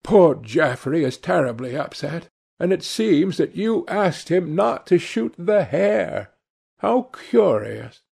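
A man puts on a lively duchess from a literary audiobook. She is arch, witty and coquettish, pitched higher, with some creak in the voice. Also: slow pace, heavily acted. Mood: curious.

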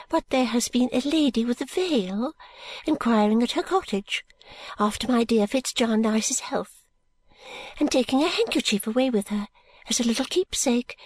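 A fluttery, eccentric elderly lady, voiced by a woman reading a novel aloud. She confides in a slow, breathy half-whisper as if sharing secrets, her pitch swooping up and down. The sound is close and dry. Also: darkish tone, no room sound.

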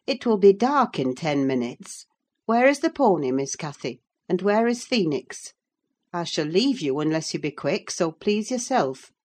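A woman's voice: on the low side, very animated, a little slow, a little mellow. A woman playing a stern middle-aged housekeeper who scolds a runaway girl. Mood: impatient. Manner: scolding, firm.

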